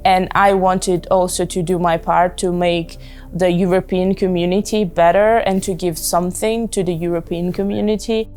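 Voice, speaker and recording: Italian woman in her twenties, slightly low voice, calm testimonial with faint music. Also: moderate pace. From southern Italy. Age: 20s. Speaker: volunteer. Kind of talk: interview testimonial.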